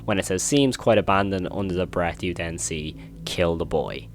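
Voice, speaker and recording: A man's voice: deep voice, normal speed. A man hosting a horror video commentary, retelling and reacting in an informal, emphatic way.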